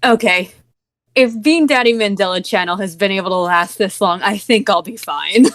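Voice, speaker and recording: A woman's voice: medium pitch, moderate pace, dry recording. Sarcastic woman, dry close sound. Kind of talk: casual chat on a group stream.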